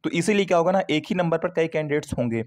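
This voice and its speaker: A man's voice: fairly high pitch, fast, darkish tone. A man giving an informal, conversational explainer to students, often adding check-in tags and mixing in English terms. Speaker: exam prep YouTuber.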